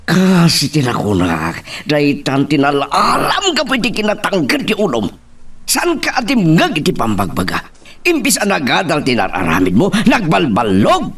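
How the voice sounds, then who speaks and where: A man's voice: on the high side, huge pitch swings, normal speed, a bit bright, exaggerated voice. A man playing a stern, exasperated father with an Ilocano accent, scolding in a drama. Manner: scolding, sermonizing. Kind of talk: Ilocano radio-style drama acting. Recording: distant mic with clear noise.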